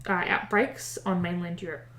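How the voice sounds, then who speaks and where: A woman's voice: on the low side, fairly even intonation, moderate pace, a bit bright, reverberant. A young woman co-hosting a casual podcast, reading history notes aloud, stumbling over the old wording and poking fun at herself. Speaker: podcast co-host.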